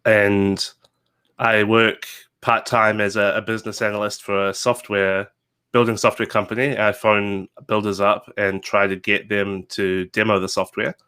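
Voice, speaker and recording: A man's voice: on the low side, little pitch movement, a little slow, bright, forceful tone, clear, resonant tone. A man in his twenties with a New Zealand accent, a game designer chatting casually as an interview guest, rambling with fillers and restarts. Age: 20s.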